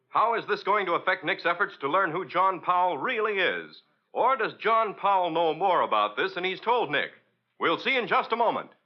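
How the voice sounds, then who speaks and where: Animated old-time radio announcer man. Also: on the high side. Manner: polished, theatrical salesmanship. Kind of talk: 1940s radio announcing and ad copy. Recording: dull old radio recording.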